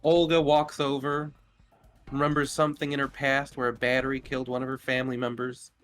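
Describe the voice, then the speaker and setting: A man's voice: medium pitch, little pitch movement, a little fast. A man casually narrating a tabletop game as game master, jokey and given to swearing. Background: soft music.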